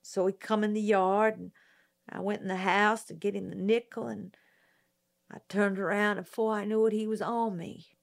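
A woman reading a storybook voices a frightened, defensive young woman witness of nineteen, with a high, strained, rustic way of speaking. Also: fairly even intonation, normal speed, creaky, natural voice. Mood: accusing.

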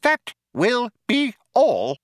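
Tiny, bossy, high-pitched mouse. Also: male voice, huge pitch swings, very slow, no room sound, clean studio sound, exaggerated voice. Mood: sharp, dismissive. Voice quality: small high voice. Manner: curt, firm, commanding.

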